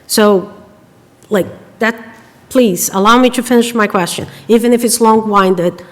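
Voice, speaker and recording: A woman from Brazil, a Portuguese native speaker with a Brazilian Portuguese accent, pressing questions in a committee meeting, often breaking off and restarting. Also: mid-range voice. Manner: halting, persistent questioning with many restarts. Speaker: finance committee member. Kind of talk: public meeting discussion. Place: town meeting room. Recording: long reverb of a meeting room.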